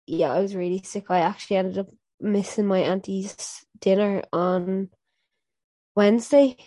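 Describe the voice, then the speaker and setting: A woman's voice: medium pitch, fairly even intonation, measured pace, dark tone, no echo. A young woman co-hosting a podcast with a stuffy, blocked nose, chatting in a rambling way full of "like" and "um". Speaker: podcast co-host. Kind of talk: casual chat.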